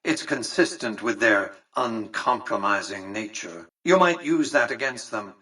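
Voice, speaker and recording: An imperious elderly tycoon from a video game. His voice is crisp-edged and bright with some huskiness, pompous and exact in its phrasing. He sounds calculating and superior, recorded a little way from the microphone. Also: fairly low pitch, animated, a little slow, far from the mic, heavily acted, rough recording. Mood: measured, condescending. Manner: formal, pompous, precise, patronizing. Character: imperious, calculating elderly male tycoon, coolly visionary mastermind. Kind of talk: scripted video game character dialogue.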